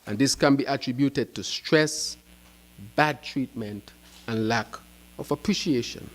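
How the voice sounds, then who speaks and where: A male political spokesman delivers a prepared statement. He speaks at a middling pitch, breaking sentences into short, emphatic phrases with deliberate pauses. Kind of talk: prepared press conference speech.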